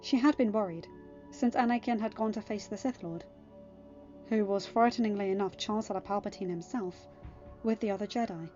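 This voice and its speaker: A woman's voice: medium pitch, normal speed, dark tone, dull audio. A woman reading a scripted story aloud as narrator of an audiobook. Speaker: audiobook reader of fan fiction. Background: background music.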